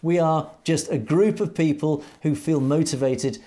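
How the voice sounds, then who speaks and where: A man's voice: fairly high pitch, steady tone, unhurried, dark tone. Earnest man hosting a news commentary. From Europe. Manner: earnest, emphatic, rhetorical questions. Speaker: co-host of a news commentary show. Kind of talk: commentary and reading viewer comments.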